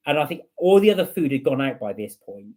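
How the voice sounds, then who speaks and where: A man chatting on a home live stream, working through viewers' comments one by one. He rambles in a relaxed, conversational way, full of ums and mid-sentence restarts, at an ordinary pitch. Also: fast talker. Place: home room with a heater.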